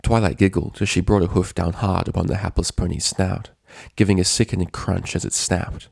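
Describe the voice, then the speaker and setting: A man reading a scripted story as narrator, in a measured, dramatic storytelling manner. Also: low voice, steady tone, vocal fry, no echo, clean studio sound.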